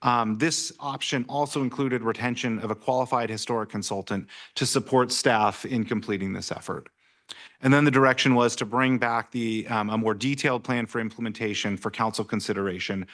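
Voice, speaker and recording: A man from city staff presenting a report at a council meeting, halting with many fillers and restarts. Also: fairly low pitch, fairly even intonation, a bit bright.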